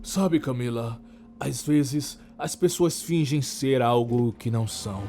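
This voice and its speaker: A man's voice: medium pitch, slow pace, mellow, voice pushed down. A Brazilian man voicing an enigmatic, disdainful old man in a horror story, curt and dismissive. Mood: cryptic.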